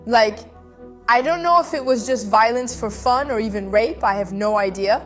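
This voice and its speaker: A woman with a fairly high voice recounts events in a casual interview. She talks in an animated, conversational way, full of fillers. Faint music sits underneath. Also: normal speed. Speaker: guest.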